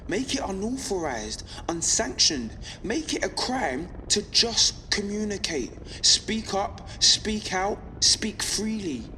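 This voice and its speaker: A young woman playing a defiant, earnest underground radio broadcaster in an audio drama, speaking deliberately with emphatic repetition. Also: sits low, fairly bright tone. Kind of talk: scripted audio drama monologue. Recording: long reverb, faint background noise.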